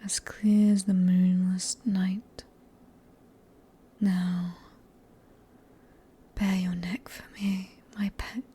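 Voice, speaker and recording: A woman with a British accent playing a sadistic, possessive female vampire in a whispered ASMR roleplay, breathy and teasing, with condescending endearments. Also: medium pitch, little pitch movement, extremely slow, long pauses, dark tone, heavily acted. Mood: predatory, commanding.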